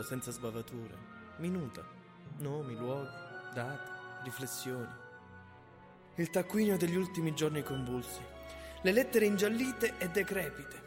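An actor playing a weary, brooding survivor reading his memoir in a solemn, measured radio drama monologue. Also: on the high side, natural voice. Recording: long reverb, music underneath.

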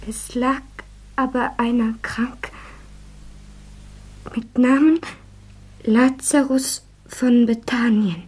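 Casting: solemn woman reciting scripture for radio drama, high but mellow, whispery, hushed. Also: fairly even intonation, slow, long pauses. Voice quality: breathy, whispery.

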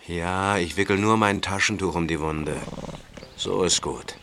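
An actor in a German radio play voicing a firm uncle who raises lions and knows how to soothe them. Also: male voice, low-pitched, a little slow, sharp tone, a little hiss or hum, full character voice. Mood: soothing. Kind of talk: German audio drama acting.

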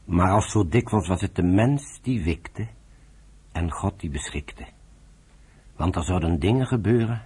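A man with a husky voice narrating a radio drama in a solemn, storytelling style. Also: low voice, animated, a little slow, pauses often, mellow, full character voice.

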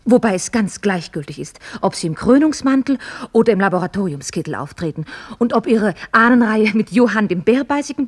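An actress playing a candid, ironic young upper-class woman in a German radio play, speaking in articulate, formal phrases with gentle mockery. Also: medium pitch, husky. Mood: emphatic. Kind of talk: mid-century German radio play acting.

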